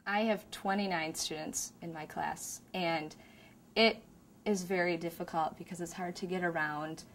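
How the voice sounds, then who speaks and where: A woman's voice: on the low side, fairly even intonation, unhurried, slight background noise. A woman who teaches a class, talking sincerely and plainly in a documentary interview.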